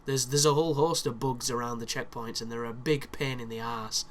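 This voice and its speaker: A man's voice: medium pitch, steady tone, brisk, a bit bright. Chesty man narrating gameplay. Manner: chatty, explanatory, with frequent restarts and fillers. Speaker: video game walkthrough commentator. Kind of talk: casual gameplay commentary.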